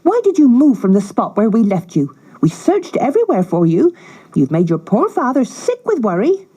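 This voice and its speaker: A woman voicing a cruel, scheming stepmother in a storybook, sharp and bossy. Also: a little high, wildly swooping pitch, moderate pace, husky, pitched above the performer's own voice, heavily acted. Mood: scolding. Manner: sharp, bossy, false sweetness.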